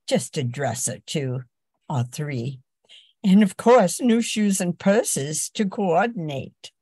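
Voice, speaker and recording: A wheedling wife acted by a woman in a comic radio ad. Her slightly rough voice swoops widely and takes its time. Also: on the low side, expressive, takes it slowly, darkish tone, no room sound, studio quality, exaggerated voice. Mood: coaxing. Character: wheedling, shopping-loving wife. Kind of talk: comic radio commercial acting.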